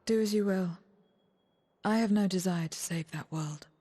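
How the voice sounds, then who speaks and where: A woman playing a guarded, world-weary survivor in a video game cutscene, speaking in a measured, solemn, detached way. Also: mid-range voice, dark tone, close to an everyday voice. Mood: cold, bargaining. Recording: background music under the voice.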